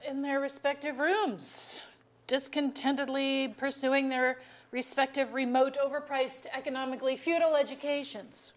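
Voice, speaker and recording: A woman's voice: high-pitched, little pitch movement, lightly acted. A woman acting a middle-aged wife in a staged play reading, dry, articulate and wearily exasperated. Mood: dryly weary. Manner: dry, articulate, deliberate phrasing. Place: auditorium.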